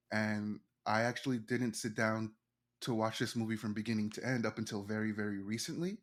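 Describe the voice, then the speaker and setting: Excitable, self-deprecating podcast man. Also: lowish voice. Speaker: podcast co-host. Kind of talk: casual chat.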